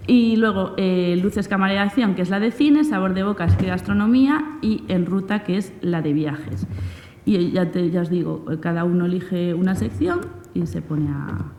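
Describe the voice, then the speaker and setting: Spanish woman from spain doing an informal talk, lowish voice, big echoey hall, bit of rumble. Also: moderate pace, warm tone. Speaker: presenter of a community radio project. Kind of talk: informal spoken presentation to an audience.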